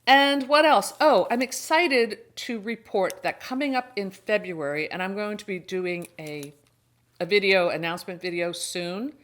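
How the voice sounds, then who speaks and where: Chatty, expressive woman hosting a vlog. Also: mid-range voice, unhurried. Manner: expressive, exclamatory, thinking aloud. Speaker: host of a horror book channel. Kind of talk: casual chatty unboxing vlog.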